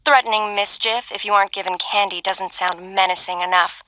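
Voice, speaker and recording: A woman's voice: mid-range voice, steady tone, brisk, pushed and bright, no room sound, close to an everyday voice, low-quality recording. A woman voicing a menacing yet playful young knife-loving character in a video game, theatrically delivered. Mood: coolly menacing.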